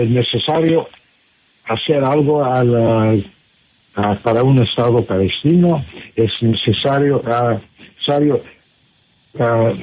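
Slow, hesitant man. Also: mid-range voice, a little mellow, breathy edge, rough recording. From Michigan, USA. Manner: hesitant, many fillers and restarts, searching for words. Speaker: ambassador, interview guest. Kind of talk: halting interview answers in Spanish.

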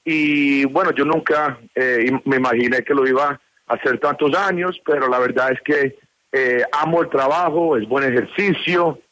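A Colombian man in his sixties, interviewed on the phone, talking with many pauses and fillers. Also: mid-range voice, fairly bright tone, no room sound, poor audio. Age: 60s. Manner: hesitant, many fillers and restarts.

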